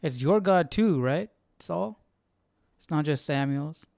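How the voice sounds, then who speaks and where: A man's voice: fairly high pitch, lively intonation, slow, gravelly, darkish tone, dry recording, clean studio sound, faint music behind. A man hosting with casual live commentary, reacting informally and musing aloud. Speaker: host.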